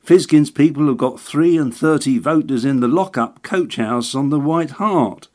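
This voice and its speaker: A man voicing a fussy, excitable little election agent who confides in everyone as his dear sir. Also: on the high side, normal speed, voice pushed up, close to an everyday voice. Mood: scheming.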